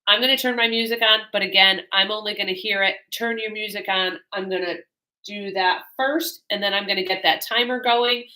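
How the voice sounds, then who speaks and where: A woman's voice: mid-range voice, fairly even intonation, a little fast, bright, forceful tone, low-quality recording. A woman fitness instructor, slightly congested, giving a friendly, rambling introduction to a home exercise class with many fillers.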